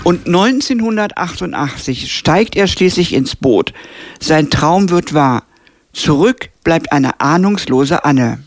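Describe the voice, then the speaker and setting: A woman narrating a scripted radio film review in a measured, even way. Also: low-pitched, slight background noise.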